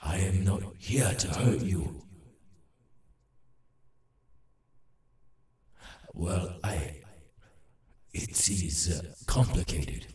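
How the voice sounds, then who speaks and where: A man voicing a halting, urgent demon in an ASMR roleplay, repeating himself in broken phrases and insisting he is harmless. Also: sits low, animated, echoey room, distant mic, noisy background. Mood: hesitant, reassuring.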